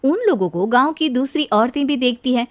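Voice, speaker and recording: A woman narrates an animated tale from a script. Her voice is high, and she tells it as the storyteller between the dialogue. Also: a little fast.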